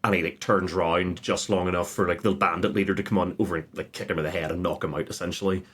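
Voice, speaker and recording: Male podcast guest, very low husky voice, casual anecdotal game master storytelling. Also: steady tone, quick pace, fairly bright tone. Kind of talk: casual anecdotal chat, with scripted dramatic trailer narration.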